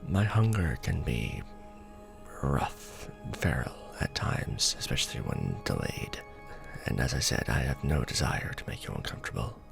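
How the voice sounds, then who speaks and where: A man as a deep, gravelly, courtly vampire lord. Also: huge pitch swings, in a whisper, music in the background, full character voice. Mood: tender, concerned. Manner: formal, deliberate, tender, halting pauses. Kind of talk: ASMR romance roleplay.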